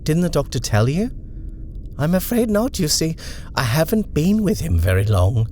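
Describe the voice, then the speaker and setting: A man voicing a brisk, brusque housekeeper woman with a clipped, barking manner. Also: fairly high pitch, sing-song, warm tone, a little hiss or hum, pitched above the performer's own voice. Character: brisk, brusque middle-aged female housekeeper-driver.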